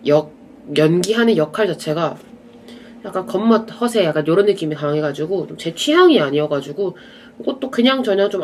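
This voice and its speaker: A young adult speaker with a slight cold talks to camera at home, their voice a little stuffy and nasal. The delivery is relaxed and chatty, and it wanders into small asides along the way. A faint background hiss runs under the recording. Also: medium pitch. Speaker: vlogger reviewing films and dramas. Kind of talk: casual rambling chat to camera.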